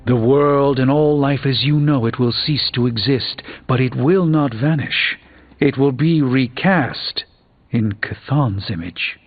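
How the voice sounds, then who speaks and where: An actor playing a learned sorcerer mentor in a video game, speaking in a grave, measured, formal way. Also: male voice, mid-range voice. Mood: grave, explanatory. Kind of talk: video game character dialogue. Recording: dull band-limited game audio, music behind.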